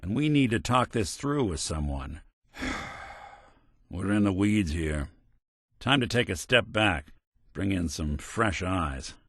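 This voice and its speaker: A man's voice: fairly low pitch, a bit bright, no room sound, studio quality, exaggerated voice. A man voicing a weary, wry old-school detective robot with an American accent and hard-boiled noir phrasing, in a video game. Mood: wary, advising.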